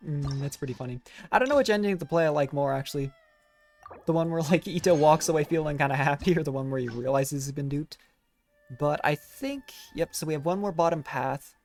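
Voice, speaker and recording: Streamer, medium pitch, casual thinking-aloud playthrough commentary, light music in the background. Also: brisk, darkish tone. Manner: musing, reacting aloud to the story. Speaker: game streamer.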